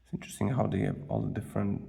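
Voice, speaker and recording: A man giving casual, unscripted unboxing commentary as a vlogger, thinking aloud in a halting way with a gravelly voice. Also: lowish voice, steady tone, mellow. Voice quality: gravelly, creaky. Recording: distant mic, faint background noise.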